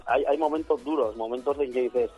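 Hoarse man, husky voice. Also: medium pitch, fairly quick, muffled recording, soft background music. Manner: rambling, acts out what others say in quotes. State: hoarse after a weekend of shows. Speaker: DJ and singer, interview guest. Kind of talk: casual interview chat.

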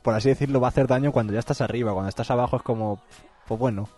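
A mellow-voiced man hosts a relaxed radio interview. He backs up his guest with short agreements. Soft music plays faintly behind. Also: mid-range voice, racing. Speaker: radio host. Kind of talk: casual interview chat.

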